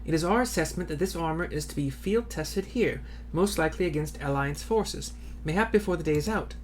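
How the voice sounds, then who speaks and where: High, formal military officer, as a man reads him. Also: lively intonation, a little hiss or hum, lightly acted. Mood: formal, matter-of-fact. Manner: formal, measured, stumbling over reading. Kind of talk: amateur read-aloud of game dialogue.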